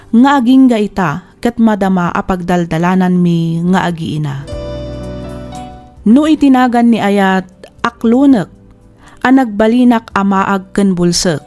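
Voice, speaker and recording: Female drama narrator, mid-pitched and mellow, Ilocano accent, scripted letter reading over faint music.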